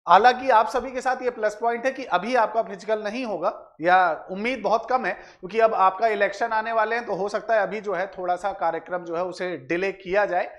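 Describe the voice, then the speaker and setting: A man's voice: sits high, steady tone, a little fast, few pauses, fairly bright tone. A man presents exam analysis like a coach, addressing viewers directly with frequent filler phrases and check tags. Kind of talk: explanatory exam analysis for students.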